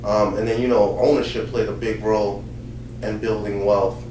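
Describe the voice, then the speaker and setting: A Haitian-born man, a pastor and host telling his life story in a relaxed, casual chat full of fillers. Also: on the low side, moderate pace. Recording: roomy sound from a distant mic with faint noise.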